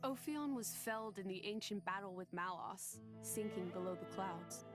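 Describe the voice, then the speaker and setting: Game role: woman plays composed, grave female companion, mid register, precise, under loud score. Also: mid-range voice, normal speed, lightly acted. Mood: serious, inquiring. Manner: serious, articulate. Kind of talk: game cutscene acting. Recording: loud orchestral music behind.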